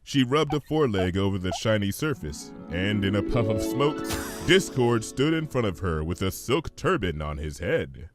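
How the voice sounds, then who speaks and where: A man reading a story aloud as scripted, expressive narration. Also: fairly low pitch, moderate pace, music in the background. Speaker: fan fiction reader.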